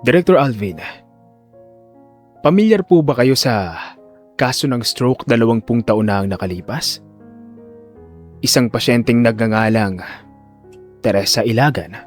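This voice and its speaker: A man playing a cold, calculating neurosurgeon in an audio drama, speaking with controlled, deliberate politeness. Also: mid-range voice, lively intonation, faint music behind, voice pushed down, natural voice. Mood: probing, controlled. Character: cold, calculating male neurosurgeon secretly bent on revenge.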